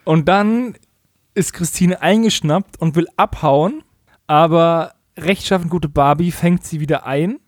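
A man's voice: fairly high pitch, a little slow, no room sound, clean studio sound. A man co-hosting a casual comedic recap podcast, slangy and mockingly acting out quoted dialogue. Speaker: podcast co-host.

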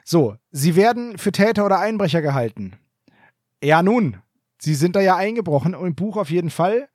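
High-voiced man chatting loosely. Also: expressive, normal speed, a little mellow, no room sound, clean studio sound. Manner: loose, hedging with lots of fillers. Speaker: podcast co-host. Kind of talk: casual chat reviewing an audio drama.

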